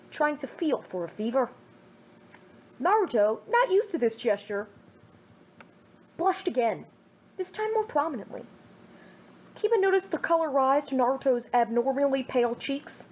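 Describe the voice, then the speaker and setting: A woman's voice: a little high, a little mellow, a little hiss or hum, poor audio. Jokey young woman reading fan fiction. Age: 20s. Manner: self-mocking, jokey, over-the-top. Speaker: streamer reading her own fan fiction. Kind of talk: casual chat then dramatic story reading.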